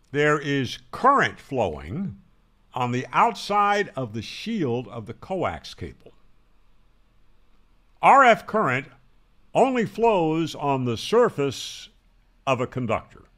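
A man's voice: on the high side, fairly bright tone. An American man in his sixties explaining to camera in a conversational, emphatic and wry way, close on the mic. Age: 60s. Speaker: host of a ham radio tutorial channel. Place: small home room with guitars, indoors. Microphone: close broadcast mic on a boom.

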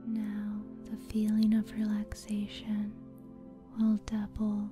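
ASMR host, female: hushed breathy whisper, very flat and soothing delivery, light music underneath. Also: mid-range voice. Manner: slow, soothing, deliberate. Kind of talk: whispered soft-spoken ASMR, guided sleep meditation.

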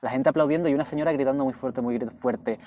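A young man from Jerez with a softened Andalusian accent, chatting fast and animatedly as a guest inside a car. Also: medium pitch. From Andalusia, Spain. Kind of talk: casual chat.

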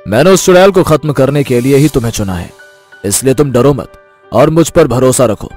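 A man's voice: mid-range voice, expressive, fairly quick, darkish tone, soft background music, natural voice. Man voicing a commanding, stern protector god. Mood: solemn, reassuring. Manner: solemn, commanding, authoritative. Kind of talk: Hindi animated devotional story.

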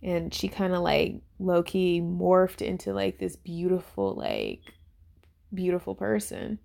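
A woman in her twenties giving a casual, unscripted review in a rambling, informal way, with lots of fillers and heavy vocal fry. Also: fairly low pitch, sing-song, unhurried, mellow. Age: 20s. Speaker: YouTuber reviewing a webtoon. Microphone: voice-over on a phone screen recording.

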